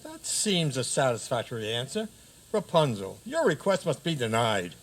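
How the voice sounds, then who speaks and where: A man's voice: mid-range voice, sing-song. An actor playing a pompous, impatient frog-turned-king who judges disputes in a comic radio play. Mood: brisk, pompous. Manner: pompous, brisk. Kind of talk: comic community radio theater.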